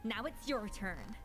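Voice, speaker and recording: A woman playing a strict, theatrical chef who judges cooking in a video game, speaking in a brisk, critical manner. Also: mid-range voice, a bit bright.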